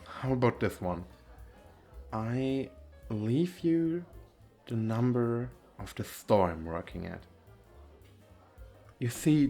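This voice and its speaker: Man acting a shy, softly flirty crossdressing guy. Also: lowish voice. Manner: hesitant, stammering, giggly. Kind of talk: ASMR roleplay.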